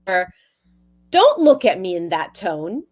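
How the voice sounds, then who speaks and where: A woman's voice: on the low side, very animated, slow, a little mellow. A woman hosting a podcast, talking casually in an enthusiastic, affirming way with frequent restarts. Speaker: podcast host. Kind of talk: casual conversational podcast talk.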